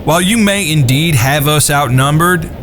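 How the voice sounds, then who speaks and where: A man as a calm, courteous drawling gunslinger. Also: fairly high pitch, moderate pace, noisy background, natural voice. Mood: composed menace. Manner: folksy, polite, long-winded explaining. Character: calm, courteous, quietly menacing Western gunslinger brother. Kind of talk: Western audio drama acting. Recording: music bed behind the voice.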